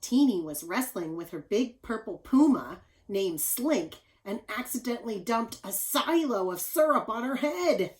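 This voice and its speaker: A woman's voice: medium pitch, low-quality recording. Woman reading expressively to young children. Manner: simple, explanatory, addresses young children as friends. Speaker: teacher reading a picture book aloud. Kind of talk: children's read-aloud with teaching asides.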